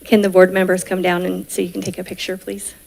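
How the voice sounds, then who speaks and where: A woman coordinating an exchange program event, speaking in a brisk, organizing way. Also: lowish voice, monotone, gravelly, poor audio. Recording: auditorium with loud background noise.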